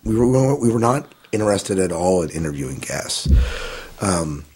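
Low husky-voiced man telling a rambling story. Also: animated, normal speed, a little mellow. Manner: rambling, filler-heavy storytelling with restarts. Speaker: podcast guest. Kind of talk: casual interview answers.

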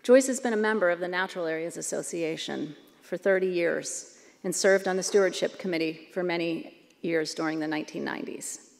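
A woman's voice: lowish voice, a little fast, gravelly. A middle-aged woman at a podium, steadily reading a prepared award introduction. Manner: reads prepared text steadily. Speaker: award presenter. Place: large banquet hall stage. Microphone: podium microphone. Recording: long hall reverb.

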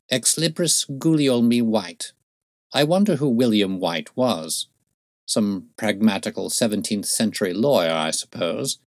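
Erudite gentleman detective for an audiobook, keen and clipped, musing delivery, dry sound, read by a male narrator. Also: lowish voice, dry recording, voice pushed up. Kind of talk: audiobook character voice.

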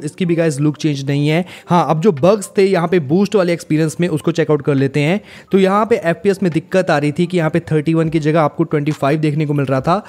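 A young Indian man hosts a tech review in a rather high voice. He talks very quickly and keeps addressing his viewers directly as he walks them through features. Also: a little mellow. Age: 20s. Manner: repetitive, addresses viewers as guys. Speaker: tech YouTuber, host. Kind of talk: tech review narration to camera.

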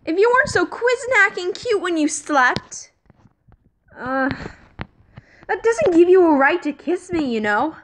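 A woman voicing flustered, lovestruck young male cartoon space pilots in an amateur comic dub, with an emotional, halting delivery. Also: very high-pitched, sing-song, fairly bright tone, a little hiss or hum, voice pushed up, exaggerated voice. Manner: stammering, emotional.

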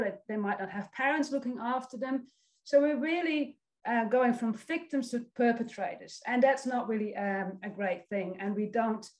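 A woman's voice: medium pitch, darkish tone. A woman in her forties from the Netherlands with a Dutch accent, a social work lecturer giving an encouraging online presentation over a video call. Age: 40s. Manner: friendly, encouraging, reads out audience comments. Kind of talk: online presentation to a youth summit.

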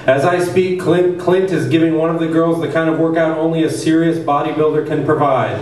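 A man's voice: fairly high pitch, steady tone, a little fast, a little mellow, voice pushed up, close to an everyday voice. A man playing a smarmy, grandiose TV game show host with syrupy showman patter. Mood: grandly unctuous. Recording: echoey hall, distant mic, faint noise.

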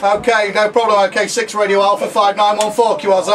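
A man's voice: high-pitched, little pitch movement, fast talker. A man working as an amateur radio contest operator, reeling off procedural callsign exchanges in phonetic alphabet. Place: small dim indoor radio room. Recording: very noisy radio shack, distant mic.

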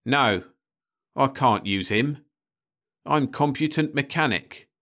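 A man's voice: medium pitch, expressive, takes it slowly, pushed and bright, voice pushed up, full character voice. A man reading aloud, voicing a surly, cold male ship's mechanic who dismisses others with contempt. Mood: contemptuous.

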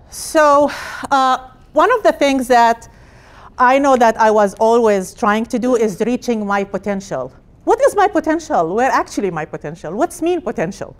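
A middle-aged woman from Palestine, a hospital director, giving an energetic personal talk in a conference room with phrasing. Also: on the high side. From Jerusalem, Palestine. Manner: energetic, gesturing while speaking, non-native phrasing. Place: conference room with projected slides.

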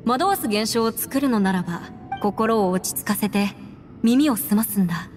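A woman's voice: mid-range voice, animated, normal speed, darkish tone, natural voice. A woman voicing a composed, resolute young adventurer in a Japanese anime game, speaking in an articulate, slightly formal, earnest way. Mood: calm, focused. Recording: long reverb, music behind.

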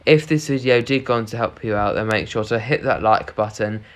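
A man's voice: fairly low pitch, brisk. A man hosting a casual how-to tutorial, explaining each step in a repetitive, instructional way as a voice-over. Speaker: tutorial host. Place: voice-over on a screen recording.

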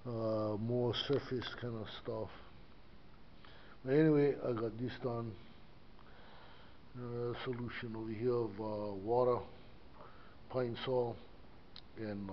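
A man's voice: mid-range voice, fairly even intonation, takes it slowly, long pauses. Older man, casual rambling walkthrough, body-cam, muffled audio with faint noise. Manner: rambling, many fillers and restarts. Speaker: church custodian vlogger. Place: inside a small church building. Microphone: body-worn action camera.

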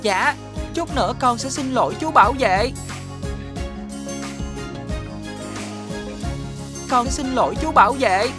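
A woman's voice: fairly high pitch, animated, moderate pace, lightly acted. A woman voicing a kind, earnest young schoolboy in a children's cartoon, with a Southern Vietnamese accent. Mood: sheepish, polite. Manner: earnest, polite. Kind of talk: animated children's cartoon dubbing. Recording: music behind the voice.

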